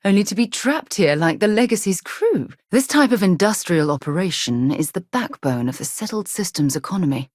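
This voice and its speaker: An earnest, principled space explorer for a video game. Her voice sits a little low for a woman and is recorded dry and close. She speaks in a formal, articulate and expressive way, with caring weight behind it. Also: breathy edge, no echo, full character voice. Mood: tender, wondering.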